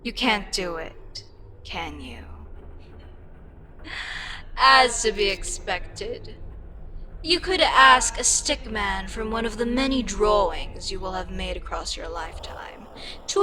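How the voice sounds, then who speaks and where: An ancient eldritch goddess performed by a woman speaks at a very drawn-out, deliberate pace in a medium-pitched voice. She is condescending and wryly amused, with a frustrated, sarcastic edge. It is an ASMR audio drama, with music clearly playing behind her. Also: sing-song. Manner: unhurried, condescending, dryly sarcastic.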